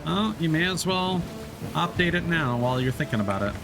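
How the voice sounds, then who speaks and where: A man's voice: on the high side, expressive, fairly quick, gravelly. Guy streaming a game and explaining stuff, lots of ums and restarts, music going in the background. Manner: rambling, many fillers and restarts. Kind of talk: casual gameplay commentary.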